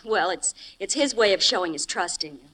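Teasing, swooping femme fatale. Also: female voice, medium pitch, lively intonation, a little fast, pushed and bright, slight background noise, poor audio. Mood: brisk, pleasant. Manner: coy, teasing, drawling. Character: glamorous, flirtatious blonde secretary, a femme fatale. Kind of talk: 1940s radio crime drama acting. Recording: old-time radio broadcast recording.

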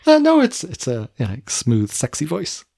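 Gravelly-voiced man chatting casually. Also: on the high side, huge pitch swings, measured pace, darkish tone, no room sound, clean studio sound. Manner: chatty, self-correcting, repeats words. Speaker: podcast co-host. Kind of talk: casual chat.

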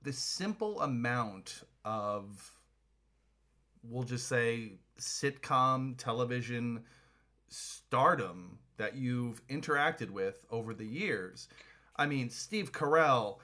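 A man's voice: mid-range voice, lively intonation, takes it slowly, pushed and bright. A man hosting a podcast, asking enthusiastic, rambling questions in casual chat. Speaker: podcast host.

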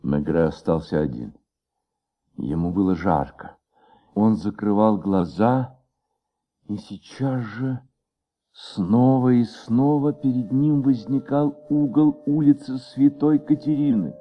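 A man narrating a radio play as a sombre, measured literary storyteller. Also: medium pitch, close to an everyday voice. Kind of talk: scripted radio drama narration. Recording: muffled old radio recording. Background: music.

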